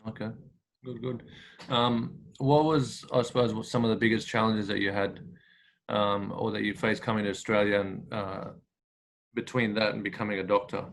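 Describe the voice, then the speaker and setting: A man's voice: on the low side, steady tone, moderate pace, creaky, a little hiss or hum, low-quality recording. A man hosting a casual interview, asking questions hesitantly with frequent fillers. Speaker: podcast host, interviewer.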